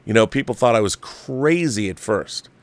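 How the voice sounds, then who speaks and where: A man's voice: mid-range voice, animated, normal speed, no room sound. Man hosting a dry-sounding film commentary stream. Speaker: host of a film commentary livestream. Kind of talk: casual opinionated film review monologue.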